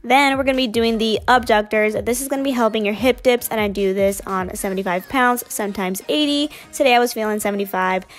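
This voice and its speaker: A woman's voice: a little high, fairly quick, hardly any pauses, soft background music. A young woman narrating her gym workout in a casual, upbeat voice-over. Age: young adult. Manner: casual, chatty, upbeat explaining. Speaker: fitness vlogger.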